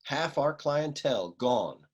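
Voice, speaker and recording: A man playing a cynical, down-on-his-luck private eye in a humorous noir radio play, world-weary and grumbling, with a husky voice. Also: mid-range voice, lively intonation, takes it slowly, dull audio. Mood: deadpan grumbling.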